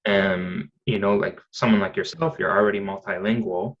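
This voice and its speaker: A man in his thirties talks in a loose, rambling way, full of ums and restarts. His voice sits very low. The audio is dull and lo-fi. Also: fairly even intonation, moderate pace. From Canada. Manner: chatty, rambling, many fillers and restarts. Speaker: podcast guest. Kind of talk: casual conversation.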